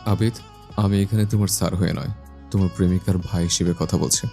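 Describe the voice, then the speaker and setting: A man's voice: low-pitched, fairly even intonation, quick pace, creaky, mellow. A man playing a self-assured, blunt older brother in a romantic audio story. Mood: stern, commanding. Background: background music.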